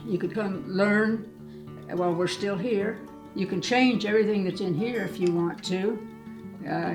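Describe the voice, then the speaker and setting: A woman in her eighties giving an informal, halting, plainspoken guided tour of the small museum and shop she keeps. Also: on the low side, low-quality recording. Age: 80s.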